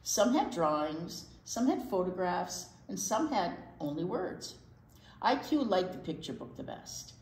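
An older woman reading a picture book aloud to children in an expressive way, with asides to young listeners. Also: lowish voice, a little slow. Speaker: library storytime reader. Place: indoor library storytime set. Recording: roomy indoor sound.

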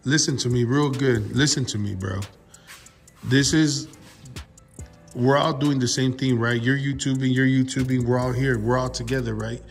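A man's voice: medium pitch, steady tone, normal speed, soft background music. A man in his thirties chatting casually and emphatically in slang as a vlog host, repeating himself and calling people bro. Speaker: YouTube car channel host. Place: open-fronted metal garage workshop. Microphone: handheld phone camera nearby.

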